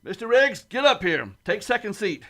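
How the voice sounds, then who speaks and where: A man's voice: sits high, big pitch swings, moderate pace, fairly bright tone, slight background noise, full character voice. A man playing an authoritative, good-humoured starship captain in a science fiction radio play. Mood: urgent, commanding. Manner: authoritative, giving orders.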